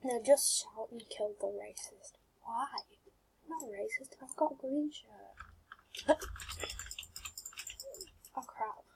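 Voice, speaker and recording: A woman giving quiet, awkward gameplay commentary on her channel, mumbling and giggling as she plays. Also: fairly high pitch, takes it slowly, vocal fry, long pauses, slight background noise, poor audio. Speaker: gaming channel host.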